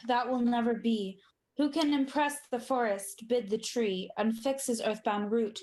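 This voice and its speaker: A woman's voice: fairly high pitch, fairly even intonation, measured pace, darkish tone, close to an everyday voice. A woman playing a proud, demanding tyrant king, declaiming Shakespeare in a radio play. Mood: defiant, agitated.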